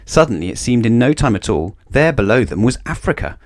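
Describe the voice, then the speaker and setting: A man reading a children's storybook aloud with expressive narration. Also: mid-range voice, fairly quick.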